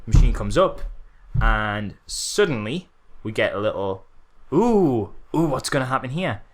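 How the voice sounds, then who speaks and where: A man's voice: mid-range voice, sing-song, measured pace. A man co-hosting a podcast, critiquing a film in casual chat with an exasperated, sarcastic manner. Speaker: podcast co-host.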